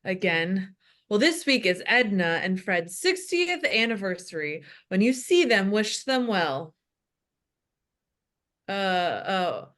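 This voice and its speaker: A woman voicing an older, food-loving male pastor with labored breathing, reading halting announcements at a comedy table read. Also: medium pitch, very animated, a bit bright. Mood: halting, distracted. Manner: halting, bumbling, muttered asides.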